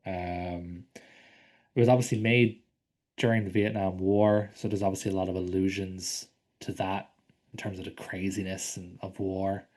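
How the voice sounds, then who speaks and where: A man's voice: deep voice, flat delivery, normal speed, darkish tone. A man co-hosting a casual film podcast, rambling with lots of ums and likes and casual swearing. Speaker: podcast co-host.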